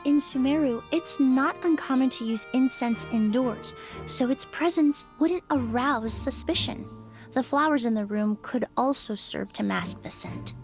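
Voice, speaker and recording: High-voiced composed female investigator. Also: a little mellow, soft background music. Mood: composed, analytical. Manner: measured, precise, explanatory. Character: composed, methodical young woman, analytical investigator explaining evidence. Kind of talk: video game voice acting, expository.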